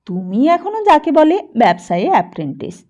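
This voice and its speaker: Woman reading a shrewd, lecturing father, mellow. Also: high voice, big pitch swings, moderate pace, voice pushed up. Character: shrewd middle-aged father, demanding businessman.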